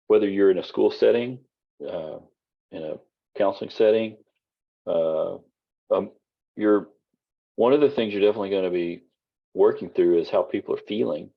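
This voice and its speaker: Low deep guy teaching an online class, voice kinda husky and going out, lots of ums, dull lo-fi audio. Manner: casual, rambling, many fillers and restarts. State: losing his voice. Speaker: college instructor.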